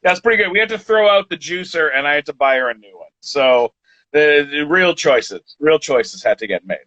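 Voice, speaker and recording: A man in his forties, a podcast guest chatting casually, rambling and halting with many restarts. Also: fairly high pitch, lively intonation, sharp tone, no echo. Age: 40s. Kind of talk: casual chat. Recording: dull lo-fi sound.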